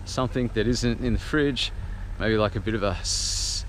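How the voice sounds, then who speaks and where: Low-voiced man, noisy outdoor recording. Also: normal speed, a bit bright. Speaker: host of a sailing vlog. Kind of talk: casual vlog narration. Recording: clear outdoor background noise.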